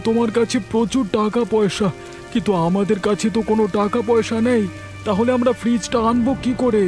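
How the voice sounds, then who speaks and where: A man acts out a poor, put-upon husband from a cartoon folk tale. His voice is strikingly high for a man, full of complaint and pleading, over a bed of music. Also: darkish tone, natural voice. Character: poor, put-upon village husband and son-in-law, plaintive.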